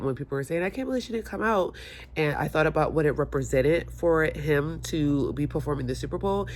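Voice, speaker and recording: A woman's voice: sits low, a little hiss or hum. A woman vlogging casually at home, chatty and rambling, stuffy and hungover, talking into her phone. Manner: chatty, rambling, self-mocking. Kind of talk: casual chat to camera. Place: home room by windows. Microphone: phone held or propped.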